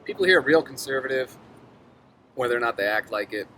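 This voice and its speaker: A man's voice: mid-range voice, lively intonation, a little fast, bright, forceful tone. A young man from Northern Virginia, a street artist, talking casually and candidly in an interview, rambling a little, swearing freely and acting out what other people said. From Northern Virginia, USA. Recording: noisy background ambience.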